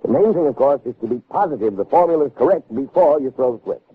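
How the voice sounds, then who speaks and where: Casting: insistent scientist father, middle-aged, very lively intonation, raspy edge, vintage radio play on a narrow band. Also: medium pitch, fast. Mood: assured, persuasive. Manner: emphatic, authoritative lecturing. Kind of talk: 1960s radio drama acting. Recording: narrow, phone-like old radio recording.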